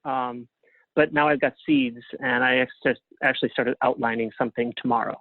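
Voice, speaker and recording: A man giving casual interview answers as a guest over a phone line, in a halting way with frequent restarts. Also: medium pitch, sing-song, vocal fry, no echo. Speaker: guest, playwright and librettist. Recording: thin phone-line sound.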